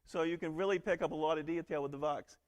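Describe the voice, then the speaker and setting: A man from northern Alabama giving a quick, joking technical talk at a conference. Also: fairly high pitch, fairly even intonation. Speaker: conference presenter. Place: large conference hall. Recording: long hall reverb.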